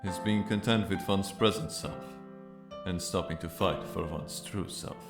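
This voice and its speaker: A man playing a solemn philosophical figure in a radio drama, speaking slowly. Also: low voice. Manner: slow, solemn. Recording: echoing voice under piano music.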